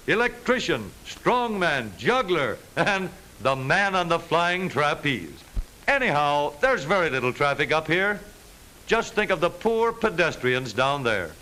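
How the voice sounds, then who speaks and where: A man with an American accent narrates in the style of an old educational newsreel. His voice sits fairly high and bright, with a lively, swinging intonation. The delivery is scripted but jaunty, with a wry, wisecracking edge. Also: a little hiss or hum, poor audio.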